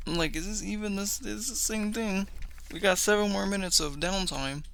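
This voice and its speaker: A man's voice: high voice, moderate pace, fairly bright tone, a little hiss or hum. A man giving casual reaction commentary as a video host, slangy, questioning and incredulous. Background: music.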